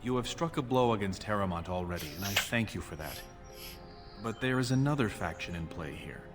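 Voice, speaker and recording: An actor playing an ambitious dwarf prince in a video game, speaking formally and with resolute authority. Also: male voice, on the low side, animated, a little fast, music in the background. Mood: measured, grave. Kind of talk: video game character dialogue.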